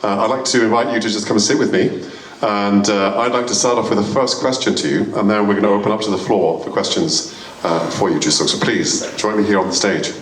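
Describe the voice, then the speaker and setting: A man hosts a Q and A in a school auditorium. He speaks in a polite, measured way with a lowish voice. He sounds set back from the mic, and the hall echoes around him with a faint hum of room noise. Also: steady tone, distant mic. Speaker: moderator. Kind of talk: hosting a stage Q and A.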